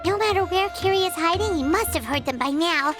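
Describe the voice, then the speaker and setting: An actress playing a small, childlike, earnest and easily worried character in a video game. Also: female voice, extremely high pitch, animated, brisk. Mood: worried, urgent. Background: music.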